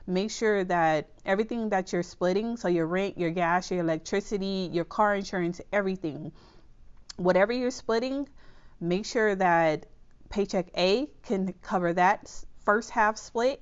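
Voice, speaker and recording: Woman host, slightly nasal with a cold, lower pitch, smooth voice, chatty how-to delivery, dull lo-fi sound. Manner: chatty, reassuring, checks in with "okay?". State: under the weather, slightly nasal. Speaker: budgeting channel host. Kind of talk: casual explainer to camera.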